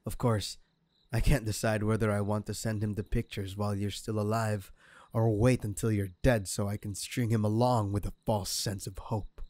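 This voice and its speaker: A man playing a sadistic crime boss in an audio roleplay, taunting a captive with slow, mocking menace. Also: lowish voice, little pitch movement, a little mellow, dry recording, close to an everyday voice. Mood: gloating menace. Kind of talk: ASMR audio roleplay acting.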